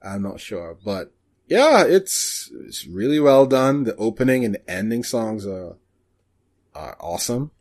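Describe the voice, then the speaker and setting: A man's voice: fairly low pitch, big pitch swings, unhurried, darkish tone. A man co-hosting a casual podcast about anime, speaking hesitantly and often trailing off. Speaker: podcast co-host.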